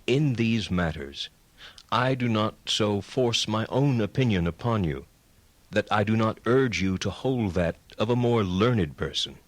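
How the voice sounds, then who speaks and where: A learned medieval friar speaks in a low, deliberate voice. He is formal and courteous, setting out his reasoning like a patient teacher in an audio drama, close and dry. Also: expressive, dry recording. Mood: humble, courteous. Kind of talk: dramatized reading of philosophical writings.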